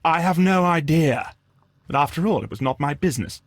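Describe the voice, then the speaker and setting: An actor playing a guarded, disdainful Victorian gentleman in a video game, answering formally and dismissively. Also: on the high side, lively intonation, a little fast, dry recording. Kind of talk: scripted video game voice acting.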